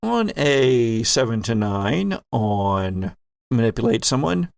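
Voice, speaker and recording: A man speaks as a podcast game master, unhurried and a little gravelly in a dry, close recording. His phrasing is theatrical when he narrates and conversational when he addresses the players. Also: mid-range voice, big pitch swings, no echo. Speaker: host and game master of an actual-play podcast. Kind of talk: narrating a tabletop game with casual banter.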